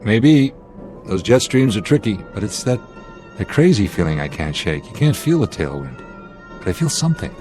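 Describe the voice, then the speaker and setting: A man's voice: lowish voice, big pitch swings, moderate pace, a little mellow, faint music behind. An actor playing a confident male airline captain in a radio drama, speaking with clipped authority. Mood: uneasy, puzzled.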